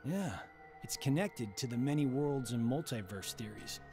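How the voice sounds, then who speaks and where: An actor voicing a level-headed, knowledgeable man in a video game, measured and matter-of-fact. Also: mid-range voice, lively intonation, a little mellow, lightly acted. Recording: music under the voice.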